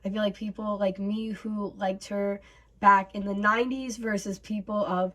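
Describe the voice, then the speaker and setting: Raspy-voiced young woman vlogging casually. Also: mid-range voice, fairly even intonation, moderate pace. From North America. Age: 20s. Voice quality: casual, rambling with fillers. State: raspy from bronchitis. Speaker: YouTube host. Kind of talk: casual reaction vlog.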